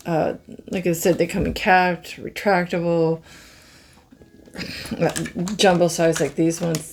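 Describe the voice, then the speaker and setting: Hoarse middle-aged woman reviewing craft pens, super slow, lots of vocal fry, bit of low hiss behind. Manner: slow, musing, easily amazed, talks to viewers. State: hoarse, scratchy throat. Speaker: craft channel host. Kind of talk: casual product review chat.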